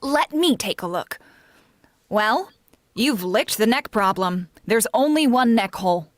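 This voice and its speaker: A woman's voice: on the high side, lively intonation, measured pace, no room sound, studio quality. A woman playing a concerned, helpful housemaid in 1940s radio comedy style, solicitous and questioning.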